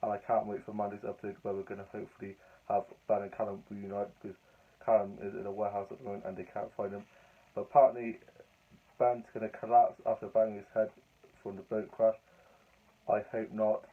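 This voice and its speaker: A young man with a hoarse, croaky voice from a cold, chatting casually in a rambling, upbeat vlog. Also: fairly low pitch, flat delivery, quick pace. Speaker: vlogger. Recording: tinny phone-like audio.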